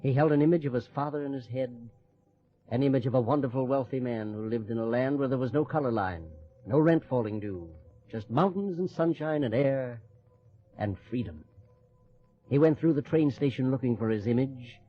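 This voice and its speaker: An actor narrating an old-time radio drama as a solemn, lyrical storyteller. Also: male voice, mid-range voice, normal speed, close to an everyday voice. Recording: slightly muffled old radio recording.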